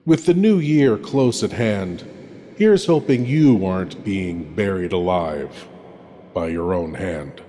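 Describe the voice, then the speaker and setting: A man playing a sinister, wry horror host, narrating in a theatrical, deliberate and ominous manner. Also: low-pitched, lively intonation, mellow, slight background noise. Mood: wryly ominous. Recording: long cavernous reverb with music.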